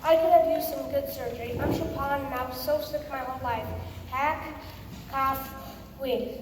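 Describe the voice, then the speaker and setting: A woman's voice: high voice, fairly even intonation, normal speed, natural voice. A young girl of about eleven playing a boastful, squeamish composer in a scripted comic school play. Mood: boastful, cheeky. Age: child, about 11 or 12. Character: boastful, squeamish former child prodigy composer. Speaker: student performer in readers theater. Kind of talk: scripted comic stage recitation. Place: school performance in a large echoey room. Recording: echoey hall, far mic, clearly noisy.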